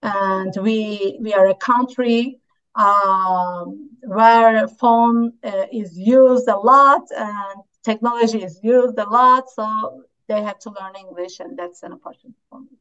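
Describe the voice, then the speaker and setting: A woman's voice: medium pitch, a little slow, a bit bright, clear, resonant tone, highs cut off. A woman English teacher from Turkey with a Turkish accent, answering interview questions in a hesitant way full of fillers and restarts.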